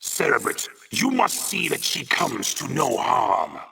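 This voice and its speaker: An actor playing a stern, loyal alien hive commander in a video game with a raspy growl. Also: male voice, mid-range voice, very animated, slow, pushed and bright, full character voice, low-quality recording. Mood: submissive, stern.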